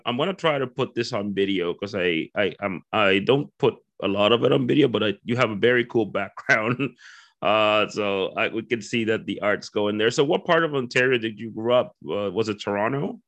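A man hosting a podcast, asking questions casually with quick intros and frequent stumbling restarts. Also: on the low side, fairly bright tone. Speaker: podcast host. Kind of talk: casual interview chat.

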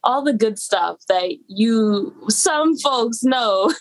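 A young woman from Toronto, a podcast guest, telling stories about her childhood in a relaxed, easygoing way. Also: fairly high pitch, takes it slowly, low-quality recording. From Toronto, Canada. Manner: relaxed, easygoing storytelling with fillers. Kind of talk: casual interview chat.

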